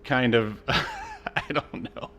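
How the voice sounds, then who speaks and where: A man's voice: medium pitch, wildly swooping pitch, slow, bright, forceful tone, slightly rough. A man co-hosting a casual film discussion podcast, talking in a hesitant, rambling, sardonic way. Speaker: podcast co-host.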